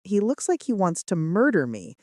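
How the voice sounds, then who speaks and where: Woman voicing a smooth male romantic date. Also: medium pitch, wildly swooping pitch, normal speed, darkish tone, dry recording, clean studio sound. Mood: uneasy. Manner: smooth, polite.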